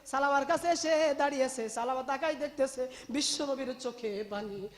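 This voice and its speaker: High-pitched impassioned preacher man in reverberant hall. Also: moderate pace. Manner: emotive, declamatory, repeats phrases for emphasis. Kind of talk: impassioned religious sermon, storytelling to a congregation.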